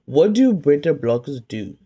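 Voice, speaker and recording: A woman tutoring pharmacy students, calmly drilling drug names in a slow question-and-pause quiz, recorded on a phone at home. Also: sits low, slightly rough, dull audio. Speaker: pharmacy tech study tutor. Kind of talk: slow flashcard-style quiz review. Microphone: phone camera filming whiteboard.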